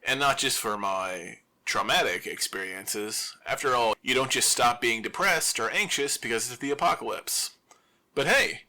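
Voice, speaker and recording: An actor playing an anxious, overthinking zombie apocalypse survivor who broadcasts alone, rambling and self-deprecating in a halting confessional radio monologue. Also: male voice, lowish voice, bright, forceful tone, full character voice. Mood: wry, awkward.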